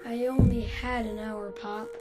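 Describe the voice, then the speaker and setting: A woman playing a gentle little boy devoted to his father, speaking simply and childishly in radio-drama style. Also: on the high side, steady tone, measured pace, over music, lightly acted. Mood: resigned, solemn. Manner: simple, childlike, brief replies. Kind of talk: dramatic radio-play acting.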